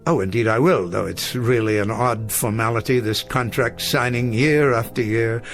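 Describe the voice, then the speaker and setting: An actor playing an elderly, genial, old-fashioned professor in a radio drama, speaking in a wordy, courtly, hesitant way. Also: male voice, medium pitch, normal speed, faint music behind, close to an everyday voice. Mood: proud, nostalgic. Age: older. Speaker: veteran teacher.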